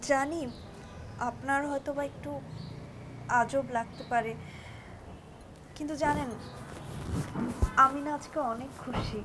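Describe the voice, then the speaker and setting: A high, girlish-pitched young wife with a Bangladeshi accent in a TV drama. She is simple and trusting, confiding shyly and hesitantly. Clear background noise from the location sits behind her voice. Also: steady tone, a little slow, pauses often. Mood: shyly happy. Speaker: actress in a TV drama. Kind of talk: naturalistic Bangla TV drama dialogue. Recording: noisy drama location sound.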